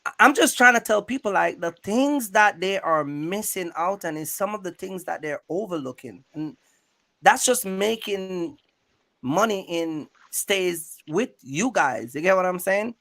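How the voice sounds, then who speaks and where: A man's voice: high-pitched, animated, measured pace, vocal fry, fairly bright tone. A man hosting a casual interview in a rambling, repetitive way, using streetwise slang and checking in often with his listener. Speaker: YouTube channel host interviewing a guest. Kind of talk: casual interview chat about trucking.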